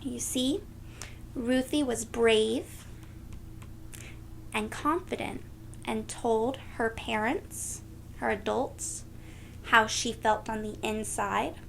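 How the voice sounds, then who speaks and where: A woman reading a storybook aloud to young children, expressive and gentle, speaking directly to them. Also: a little high, slow pace, gravelly, long pauses, slight background noise, poor audio. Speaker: children's read-aloud host.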